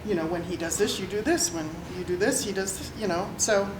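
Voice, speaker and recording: A woman's voice: mid-range voice, a little fast. Candid, folksy woman in an echoey hall. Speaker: audience member. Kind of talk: personal story from the audience. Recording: roomy hall with clear noise.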